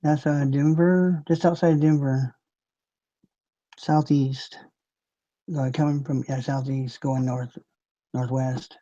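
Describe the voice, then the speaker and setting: A man's voice: mid-range voice, flat delivery, slow pace, pauses often, mellow. A man hosting a live stream, chatting casually in a rambling, laid-back way and chuckling often. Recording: dull lo-fi stream audio.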